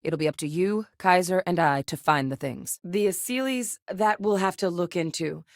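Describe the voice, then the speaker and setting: A woman's voice: lowish voice, moderate pace, dry recording, studio quality, lightly acted. A woman voicing a composed soldier-turned-officer. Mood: steady. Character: composed female military officer, former soldier. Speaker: game character. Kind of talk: game voice lines.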